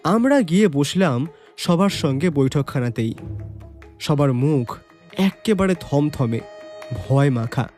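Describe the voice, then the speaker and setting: An actor narrating a mystery audio drama as the detective's reflective companion, expressive and atmospheric. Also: male voice, fairly high pitch, measured pace, pauses often, faint music behind. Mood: expectant. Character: detective's loyal male companion, wondering, reflective storyteller. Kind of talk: dramatised first-person story narration.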